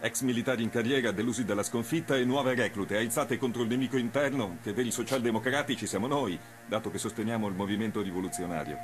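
A man's voice: mid-range voice, quick pace, a little hiss or hum, close to an everyday voice. A man playing a grave revolutionary comrade explaining events in a theatrical radio drama. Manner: explanatory, serious. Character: grave, politically alert male revolutionary comrade.

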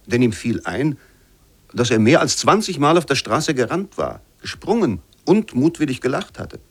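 A man narrating scripted literary prose for a radio play in a measured, formal manner. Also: medium pitch, sing-song, breathy edge.

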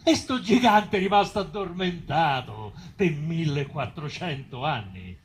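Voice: mid-range voice, lively intonation, unhurried, slight background noise, close to an everyday voice. An actor playing a wry Roman narrator of a revolution, conversational and rhetorical, in stage dialect. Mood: declamatory.

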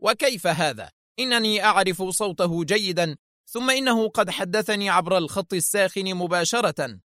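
Man acting angry male director, raised pitch, nervous, sharp, protesting, audio story drama. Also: lively intonation, normal speed, dry recording, studio quality. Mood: sharp, doubtful.

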